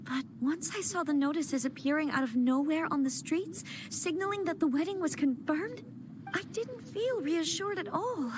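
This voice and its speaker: An actress voicing a gentle young noblewoman in a video game, soft-spoken, earnest and hesitant, with game music behind her. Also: sits high, expressive, normal speed, noisy background. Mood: regretful. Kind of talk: game voice acting.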